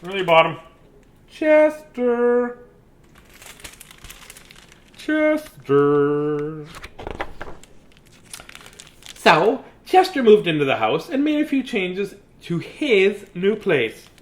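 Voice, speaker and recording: A man with a slightly high-pitched voice reads a children's storybook aloud. He is playful and informal, and he rambles off into chatty asides before returning to the page. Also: sing-song, slow pace, long pauses. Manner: playful, rambling, often breaking off to comment. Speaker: children's story reader and host.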